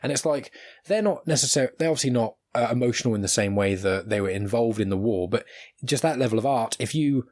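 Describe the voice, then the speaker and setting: A man's voice: medium pitch, fairly quick, a little mellow, no echo. A man hosting a podcast, chatting casually in an enthusiastic, rambling, earnest way. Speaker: podcast host. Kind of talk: casual conversational interview.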